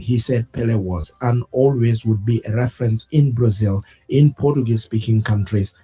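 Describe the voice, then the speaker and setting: A man presenting a weekly news program, reading his script in a measured, solemn way. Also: fairly low pitch, mellow, husky, poor audio. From West Africa.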